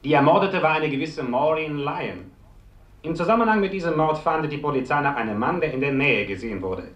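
A vintage radio newsreader delivering bulletins. His fairly high voice is formal, emphatic and measured, ringing with echo on an old recording. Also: animated, fairly bright tone, dull audio. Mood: urgent, official. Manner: emphatic, measured official announcing. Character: formal, urgent male radio news announcer. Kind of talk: 1950s radio bulletin acting.

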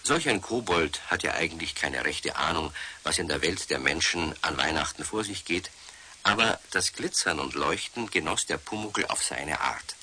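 Tiefer, klarer Märchenerzähler, leichtes Rauschen. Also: male voice, sits low, bright, forceful tone. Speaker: storybook narrator. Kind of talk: scripted narration for 1970s children's radio play. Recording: faint hiss, old studio recording.

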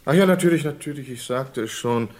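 An actor playing a polite, mocking male prisoner in a German radio crime drama, theatrically courteous and savoring his pauses. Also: medium pitch, a little mellow, close to an everyday voice. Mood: smug taunting.

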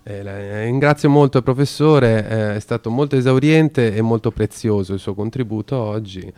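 A man hosting a radio talk, speaking hesitantly with many pauses and filler sounds. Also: on the low side, darkish tone. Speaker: radio host.

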